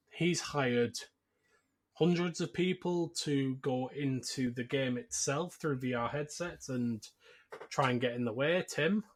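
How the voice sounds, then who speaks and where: A man hosting a podcast, casually recapping a film in a jokey, conversational way. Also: mid-range voice. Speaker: podcast host.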